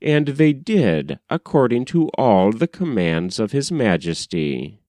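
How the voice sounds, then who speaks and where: A man narrating an ancient tale as an audiobook reader, in a measured, solemn storytelling manner. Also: mid-range voice, big pitch swings, dark tone, dry recording.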